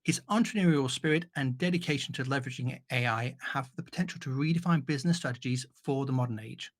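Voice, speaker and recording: A man's voice: medium pitch, quick pace. A man hosting an interview podcast, introducing his guest and asking questions. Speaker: show host and interviewer.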